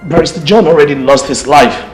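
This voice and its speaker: An actor playing a tired, pained prince who wants to walk away from the throne. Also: male voice, fairly high pitch, a little fast, echoey room, soft background music, natural voice, poor audio. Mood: anguished. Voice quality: earnest, pained. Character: weary prince ready to give up the throne.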